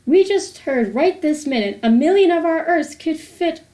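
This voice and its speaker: A woman reading a rhyming picture book aloud for children in a deliberate, sing-song way. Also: sits high, expressive, dark tone. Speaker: host of a children's read-aloud series.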